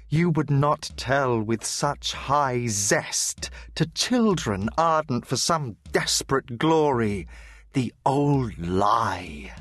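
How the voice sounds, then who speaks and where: An actor playing a haunted soldier poet of the First World War, reciting dramatically with deliberate intensity. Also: male voice, medium pitch, fairly bright tone, dry recording, exaggerated voice.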